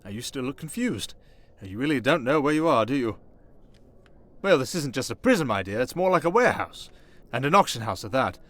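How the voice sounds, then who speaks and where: A man's voice: medium pitch, sing-song, brisk, a bit bright. A man doing a vain, sarcastic vampire noble. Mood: musing. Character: sarcastic, smooth-talking male vampire noble. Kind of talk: ASMR roleplay.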